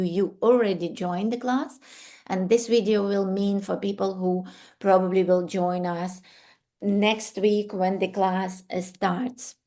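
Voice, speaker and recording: A woman from Ukraine speaks as a course instructor, her English clearly Ukrainian-accented. She goes slowly and haltingly through an informal class introduction, in a mid-pitched voice. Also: darkish tone. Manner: hesitant, halting non-fluent English. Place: online video call with screen sharing.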